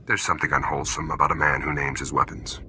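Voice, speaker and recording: An actor playing a menacing, swaggering bounty hunter, taunting and smug, in a video game. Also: male voice, rumbling low, level tone, fast talker. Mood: smug menace. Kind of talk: video game character acting. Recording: music under the voice.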